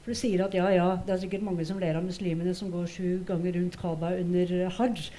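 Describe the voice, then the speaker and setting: A woman's voice: on the low side, little pitch movement, mellow. A middle-aged woman with a Norwegian accent giving conversational comments on a theatre stage. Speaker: panel speaker.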